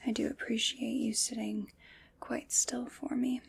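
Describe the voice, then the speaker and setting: A woman voicing a calm, teasing female armorer who measures a customer for armor, speaking in soft, mostly unvoiced ASMR whispers with gentle precision and dry jokes. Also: on the high side, unhurried, creaky, slight background noise. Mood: hushed, attentive. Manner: gentle, precise and wryly joking. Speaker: ASMR roleplay performer.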